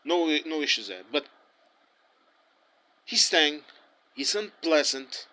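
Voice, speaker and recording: A man's voice: on the high side, sing-song, takes it slowly, bright, forceful tone. A man whose first languages are Portuguese and German, speaking English in a heated, ranting way with many restarts. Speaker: interviewee. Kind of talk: impassioned street opinion.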